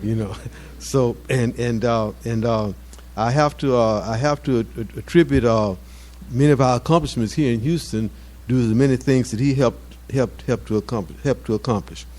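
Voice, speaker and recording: A man in his seventies giving an informal off-the-cuff address to his community, halting and often repeating words as he searches for phrases. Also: mid-range voice, darkish tone. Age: 70s. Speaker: mosque community elder speaking at an anniversary event.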